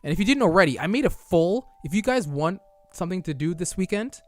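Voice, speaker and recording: A man's voice: high-pitched, expressive, fast talker, dry recording. Chatty young male streamer, voice going. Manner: chatty, reads chat aloud and answers it, loose restarts. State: losing his voice. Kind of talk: casual live-stream chat reading viewer messages.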